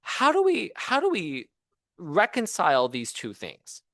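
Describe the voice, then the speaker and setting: A man giving an engineering seminar talk as a professor, in a conversational, animated manner with rhetorical questions. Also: sits high, unhurried, dry recording, studio quality. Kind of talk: engineering lecture. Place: seminar room at a university.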